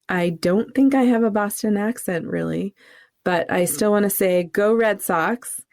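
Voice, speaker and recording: A woman's voice: medium pitch, a little slow, a little mellow, no echo, studio quality. Earnest middle-aged woman podcast co-host. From New England, USA. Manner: earnest, faith-centered, laughs easily. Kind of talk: casual podcast chat.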